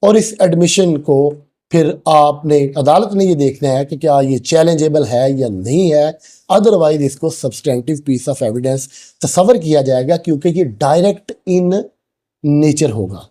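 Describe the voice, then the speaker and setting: A man with a Pakistani accent giving a law lecture, explaining slowly and deliberately, dropping English legal phrases between his sentences. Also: fairly high pitch, warm tone.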